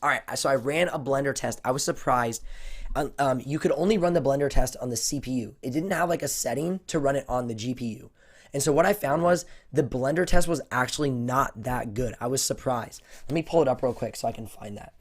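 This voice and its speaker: A man with a voice hoarse from a cold, answering viewer questions on a casual livestream, rambling with lots of fillers. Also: mid-range voice, brisk. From United States. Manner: rambling, many fillers and restarts. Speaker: tech YouTuber hosting a livestream Q&A.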